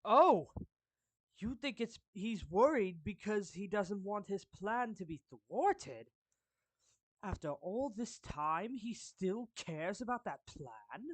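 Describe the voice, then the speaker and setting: A performer voicing a suave, courtly villain turned gently protective friend in an anime fan roleplay, speaking formally and deliberately with pauses mid-phrase. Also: mid-range voice, rough recording. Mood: surprised, concerned. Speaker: character voice actor. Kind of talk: anime fan roleplay monologue addressed to the listener.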